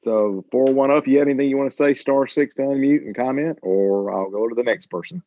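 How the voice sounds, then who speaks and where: A man informally moderating a group call in an easygoing, slightly halting way. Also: mid-range voice, mellow, dry recording. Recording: dull, dry conference call.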